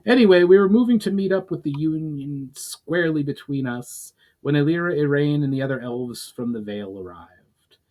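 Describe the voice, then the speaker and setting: A man's voice: a little high, expressive, moderate pace, a little mellow, pitched above the performer's own voice, natural voice. A man voicing a loyal male military commander, formal and courteous, in a fantasy story reading.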